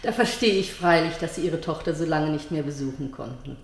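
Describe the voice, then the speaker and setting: A proper convent sister in a radio drama, chatty and courteous, a little fussy. Her voice is slightly low and rings in a roomy, echoing space. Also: fairly quick. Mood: politely chatty. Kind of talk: German radio drama acting. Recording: echoey roomy radio play sound.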